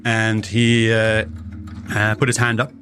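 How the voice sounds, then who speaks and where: A man's voice: on the low side, monotone, takes it slowly, over music. A man recounting his experiences as an expedition leader in a conversational interview.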